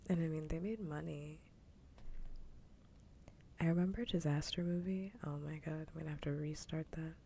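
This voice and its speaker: A woman streamer chatting casually and with opinions in a soft, breathy whisper, close to an ASMR microphone. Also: sits low, moderate pace, pauses often, slight background noise.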